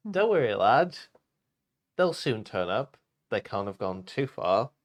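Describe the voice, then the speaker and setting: A man voicing a jovial, booming pirate captain in a children's storybook, with hearty old-salt talk. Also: very animated, measured pace. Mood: reassuring.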